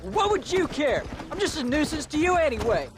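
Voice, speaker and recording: High-pitched sulky teenage boy. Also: big pitch swings, a little fast. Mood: resentful. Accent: American. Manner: sullen, defensive. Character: sulky, defiant teenage boy, rancher's son. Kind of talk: video game dramatic acting. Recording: outdoor noise with horse hooves.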